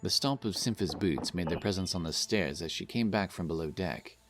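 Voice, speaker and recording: A man's voice: low-pitched, normal speed, soft background music. A man narrating a scripted fantasy story as the narrator of an audio drama.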